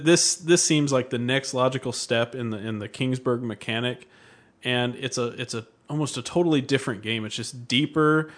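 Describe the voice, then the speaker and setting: Man chatting casually about board games. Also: medium pitch. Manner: conversational, joking. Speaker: podcast co-host.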